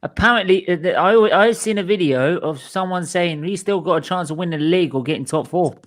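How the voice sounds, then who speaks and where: A man's voice: sits high, fast. A man hosting a football watchalong as a fan, bantering casually in a jokey, chuckling way.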